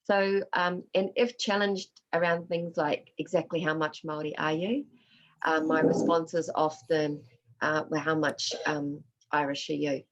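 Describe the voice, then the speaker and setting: A woman's voice: low voice, steady tone, measured pace, lo-fi sound. Woman facilitator mixing Māori words in. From Australia. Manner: mixes Māori words into her English; reflective and personal. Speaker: workshop facilitator. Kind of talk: answering audience questions in a workshop.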